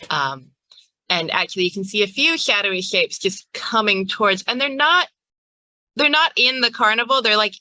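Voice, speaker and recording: Bright-voiced woman running a tabletop game. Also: lowish voice, no echo, low-quality recording. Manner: conversational, descriptive. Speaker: game master. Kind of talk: improvised tabletop game narration.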